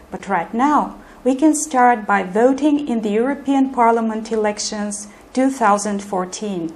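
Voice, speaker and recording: Woman, scripted narration, measured, formal, fairly high pitch. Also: darkish tone.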